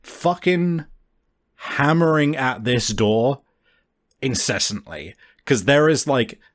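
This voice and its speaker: A man's voice: medium pitch, lively intonation, slow. Guy game mastering a tabletop rpg, casual and sweary, making up the narration as he goes.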